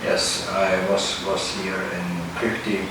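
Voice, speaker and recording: A man in his seventies from Germany, answering interview questions in a halting way as he searches for words. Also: sits low, level tone. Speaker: professor being interviewed. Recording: echoey room, distant mic, noisy.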